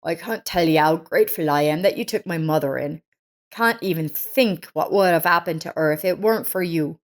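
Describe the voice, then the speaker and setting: A woman's voice: deep voice, normal speed. Woman reading as an earnest, resolute grown son. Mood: grateful. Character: resolute grown son, protective of his mother.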